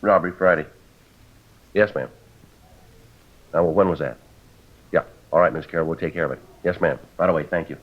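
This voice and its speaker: An actor playing a terse, businesslike police detective in a 1950s radio drama, deadpan and clipped, on a dull vintage recording. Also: male voice, deep voice, moderate pace, pauses often, slight background noise, close to an everyday voice.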